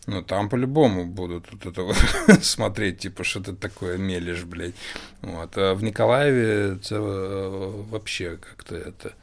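A man co-hosting a podcast in casual chat, talking haltingly with lots of fillers and the odd mild swear word. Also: low-pitched, gravelly. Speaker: podcast co-host.

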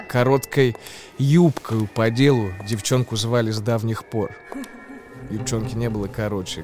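An actor voices a wry male storyteller in a radio drama. He recites ghoulish verse expressively and with agitation, in a medium-pitched voice. Music plays clearly behind him. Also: natural voice. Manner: theatrical, expressive. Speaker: actor narrating a verse tale.

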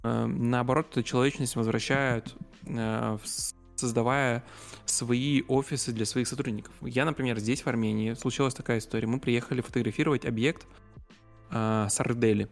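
Creaky-voiced man in casual, halting conversation. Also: mid-range voice, steady tone, faint music behind. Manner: hesitant, searching for words, with repeated self-corrections.

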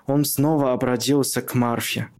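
A man's voice: medium pitch, steady tone, moderate pace. A man who is a native Chinese speaker, reading scripted narration for a radio play.

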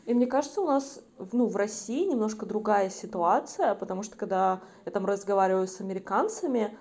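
Host: woman, dark warm timbre, relaxed chat peppered with fillers. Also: mid-range voice, brisk. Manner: loose, filler-heavy talk. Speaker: podcast host. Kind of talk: casual chat.

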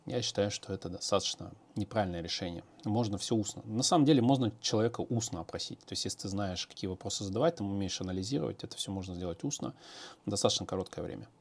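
A man's voice: on the low side, fast talker, creaky, darkish tone, breathy edge. A man, a programmer, answering viewer questions in a casual, hesitant monologue with many fillers and restarts.

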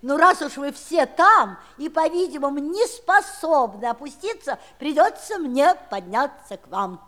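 An actress playing a prim, stern, reproving nanny in a theatrical sixties audio play, proper and emphatic. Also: female voice, high voice, animated, a little slow, fairly bright tone. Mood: disapproving, resigned. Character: prim, stern, unsmiling magical English nanny.

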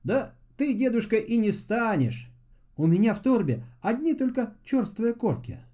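Man voicing an earnest peasant boy, high-pitched. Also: big pitch swings, normal speed, dark tone. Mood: polite. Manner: earnest, plaintive, polite. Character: good-hearted, downtrodden fifteen-year-old peasant boy. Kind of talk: storybook fairy-tale voice acting.

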